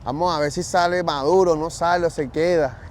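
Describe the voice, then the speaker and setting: A man from Venezuela with a Venezuelan Spanish accent, answering street interview questions in a relaxed, matter-of-fact way outdoors. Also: a little high, a little fast. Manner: relaxed, matter-of-fact, speaks of God's will. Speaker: interviewee, job seeker. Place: outdoors at a store parking lot. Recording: outdoor street noise, traffic.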